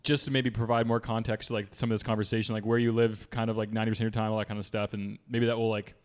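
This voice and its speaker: A man's voice: on the low side, little pitch movement, racing. A man co-hosting a podcast in loose, chatty talk full of "like". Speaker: podcast co-host. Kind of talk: casual chat.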